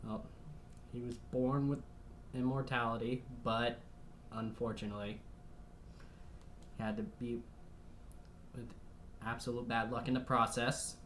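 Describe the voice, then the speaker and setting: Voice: mid-range voice, little pitch movement, measured pace, long pauses, a bit bright, slight background noise. A reaction video host giving casual commentary in an exclamatory, emotional way with mild substitute swearing.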